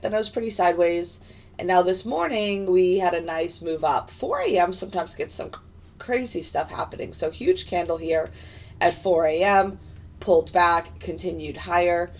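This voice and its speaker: A woman with a raspy voice and hiccups, chatting casually and rambling as she streams live trading commentary from her desk. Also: on the low side. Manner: chatty, rambling, many fillers and restarts. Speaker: futures day trader streaming live. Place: home desk in front of a computer.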